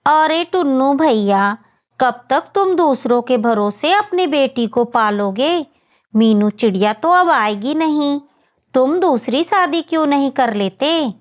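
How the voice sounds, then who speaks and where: A woman's voice: high voice, lively intonation, slow pace, dull audio. A woman voicing a practical, persuasive neighbour bird woman in a children's cartoon story, with a coaxing manner.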